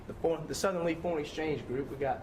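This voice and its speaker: Fast-talking young male interviewer. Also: on the high side, noisy background. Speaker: student interviewer. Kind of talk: casual student interview.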